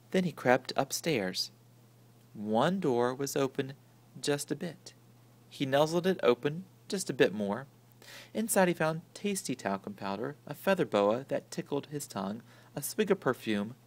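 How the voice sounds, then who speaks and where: Man, picture-book narration, very animated intonation, mid pitch, dramatic storyteller. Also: measured pace, long pauses. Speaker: story reader.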